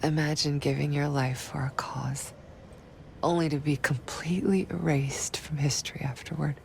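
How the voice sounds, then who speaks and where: A woman's voice: deep voice, steady tone, normal speed, vocal fry. An actress playing a guarded, bitter woman spy in a video game, speaking with confessional intensity. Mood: bitter, solemn. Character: guarded woman spy under interrogation, bitter, defiant, haunted. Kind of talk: dramatic video game acting. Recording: heavy background noise and ambience.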